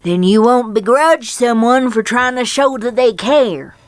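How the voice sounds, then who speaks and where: Woman as a high-voiced granny pony. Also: expressive, measured pace, no room sound, clean studio sound. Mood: gentle, firm. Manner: folksy, plain-spoken rural speech. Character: firm, kindly elderly country granny pony. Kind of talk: dramatic fan fiction reading.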